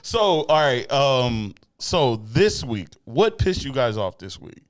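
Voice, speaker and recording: A man's voice: medium pitch, big pitch swings, slow, no echo. A man hosting a casual chat interview in a laid-back, slangy way with some easy swearing. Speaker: interview show host.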